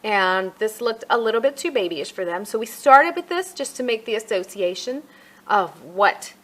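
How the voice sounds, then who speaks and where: A woman's voice: medium pitch, expressive, moderate pace, a bit bright. A middle-aged woman talking casually and explaining things as she goes, like a parent showing products at home in a review. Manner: conversational, explanatory.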